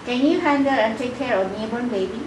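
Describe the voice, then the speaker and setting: A woman interviewing a job applicant, asking brisk questions with frequent "okay, uh" fillers. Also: fairly high pitch, lively intonation. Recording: echoey room, distant mic, background noise.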